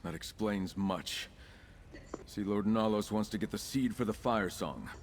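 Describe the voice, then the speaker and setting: An actor playing an earnest freed prisoner and heroic ally in a video game, speaking in a measured, theatrical way over game ambience. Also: male voice, fairly low pitch, slight background noise, lightly acted. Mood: earnest, resolute.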